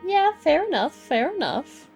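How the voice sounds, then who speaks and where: A woman reacts casually while playing a video game live, tossing in short playful asides. Her voice is somewhat high and very lively, with game music clearly playing behind it. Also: measured pace. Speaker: game streamer.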